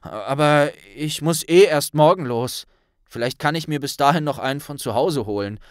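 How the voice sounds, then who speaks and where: A man's voice: on the high side, normal speed, clear, resonant tone, no room sound, clean studio sound, voice pushed up, lightly acted. A man playing a blunt, loyal young male wizard friend with a colloquial way of talking in an audiobook. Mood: casual. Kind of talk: audiobook character voice.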